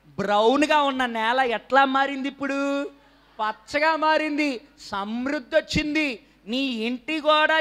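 A man in his thirties preaching a sermon from a church stage into a handheld microphone, animated and quizzing the congregation, sometimes rising to a shout. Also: very high-pitched. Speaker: pastor.

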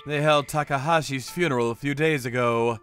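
An actor playing a hot-headed, boastful young yakuza with an emphatic, combative delivery in a scripted anime dub. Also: male voice, mid-range voice, a little fast, a bit bright, faint music behind, close to an everyday voice. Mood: grave.

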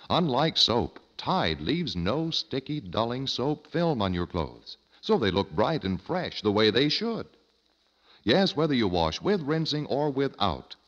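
A man's voice: medium pitch, fairly bright tone. A man announcing an old-time radio commercial with an emphatic, polished sales delivery. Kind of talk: 1940s-50s radio advertising pitch. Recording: dull, echoing old radio recording.

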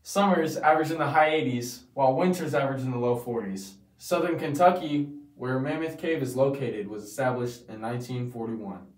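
A man's voice: mid-range voice, echoey room, recorded from a distance, rough recording. Teen boy reading a school project. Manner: reading aloud, halting. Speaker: student presenter.

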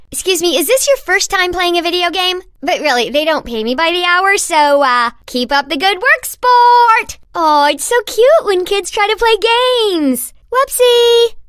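A man's voice: extremely high pitch, expressive, unhurried, fairly bright tone, no room sound, studio quality, exaggerated voice. An actor voicing a gleeful male cartoon rainbow who taunts and insults the player in a video game. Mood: mocking. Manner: bratty, insulting, cackling.

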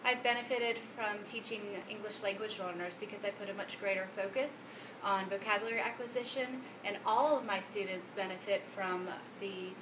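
A woman's voice: mid-range voice, normal speed. Female teacher, earnest, classroom noise audible. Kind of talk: casual interview testimony.

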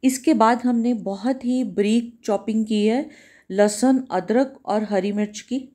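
A woman hosting a home cooking channel talks through each step of a recipe in a calm, instructive way, often repeating herself. Her voice sits a little high but sounds warm and mellow. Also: unhurried. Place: home kitchen.

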